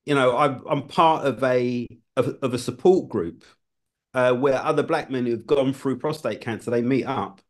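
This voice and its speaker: A man, a former television producer, talking as a guest in a relaxed conversation, often restarting his phrases. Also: medium pitch, moderate pace. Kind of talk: casual conversation.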